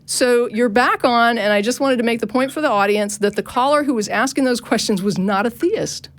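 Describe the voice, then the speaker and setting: A woman's voice: a little high, fairly quick. A woman hosting a call-in show, debating a caller in an argumentative, insistent manner.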